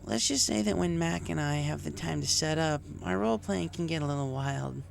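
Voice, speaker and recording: A man's voice: fairly high pitch, a little fast, gravelly, pitched above the performer's own voice. A man voicing a brash young female pony in a story, casual and grumbling, with a scratchy voice. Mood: sheepish.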